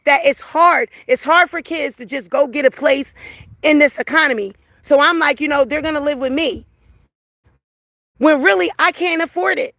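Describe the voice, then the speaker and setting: A middle-aged woman on a livestream, her voice hoarse from crying, talking to viewers in a raw, emotional way. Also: high voice, normal speed, fairly bright tone, dry recording, clean studio sound. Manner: raw, emotional, repeats herself. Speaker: livestream host.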